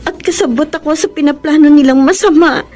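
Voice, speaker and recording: Woman acting high-pitched, anguished young woman. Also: fairly quick, darkish tone, lightly acted. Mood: tearful, guilty. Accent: Filipino. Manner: emotional, pleading, tearful. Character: anguished young escort woman confessing betrayal to the man she loves. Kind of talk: Filipino radio drama acting. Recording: dramatic music behind the voice.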